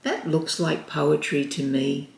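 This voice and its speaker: A woman voicing an eager, polite young boy in a storybook read-aloud, with a bright, questioning manner. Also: low voice, fairly even intonation, takes it slowly, creaky, exaggerated voice. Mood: happy. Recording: roomy echo.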